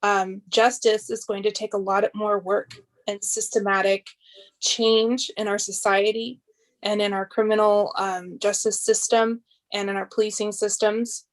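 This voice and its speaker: A woman's voice: medium pitch, flat delivery, unhurried. A woman serving as a school board member, giving earnest remarks at a public meeting.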